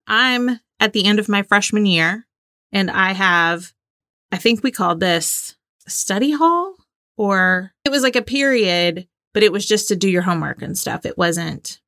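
A woman in her forties with a very bright voice, recorded close and dry. She talks like a casual podcast co-host, informal and giggly, moving between chat and reading aloud. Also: mid-range voice, expressive, measured pace, no echo. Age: 40s.

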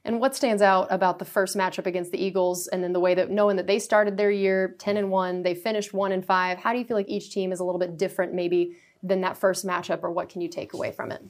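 A woman's voice: fairly low pitch, flat delivery, fast, hardly any pauses. A woman who is a team reporter hosting a radio sports show, asking poised, upbeat interview questions.

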